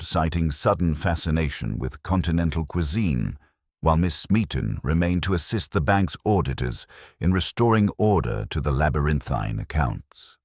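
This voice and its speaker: A man reading scripted mystery narration in a formal, literary style, with measured and precise delivery. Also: rumbling low, no echo, clean studio sound.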